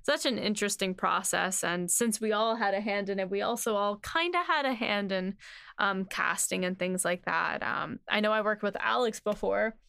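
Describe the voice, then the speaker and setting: A woman's voice: mid-range voice, normal speed, vocal fry, fairly bright tone, smooth, no room sound, clean studio sound. A woman co-hosting a podcast, talking loosely and casually about writing a show, with plenty of "like". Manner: loose, hedging with frequent "like". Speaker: podcast host and series writer.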